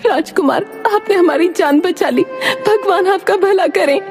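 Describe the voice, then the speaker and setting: A poor village mother acted by a woman speaks in a high, raised pitch with emotional, respectful delivery. It is animated fairy-tale acting, with clear music behind. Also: unhurried. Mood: grateful. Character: poor, kindly village wife and anxious mother. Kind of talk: Hindi animated moral story dialogue. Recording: music under the voice.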